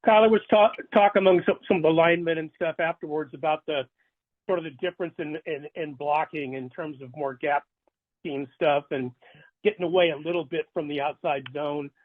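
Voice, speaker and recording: Higher-voiced man on a phone line. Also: fairly even intonation, a little fast. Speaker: sports reporter. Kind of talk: unscripted press questioning. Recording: narrow telephone line.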